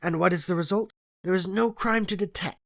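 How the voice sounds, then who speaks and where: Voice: mid-range voice, fairly quick, pitched above the performer's own voice, close to an everyday voice. A narrator playing a conceited, analytical male detective in an audiobook, lecturing with self-assured disdain. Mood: complaining, boastful. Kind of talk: audiobook character voice in classic detective story.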